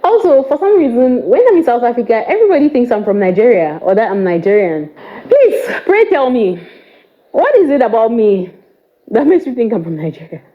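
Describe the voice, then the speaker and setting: A woman's voice: on the high side, very animated, a little fast, narrow, tinny audio. A young woman with a Cameroonian English accent, chatting to camera in a playful way, with teasing asides. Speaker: vlogger. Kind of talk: casual chat to camera.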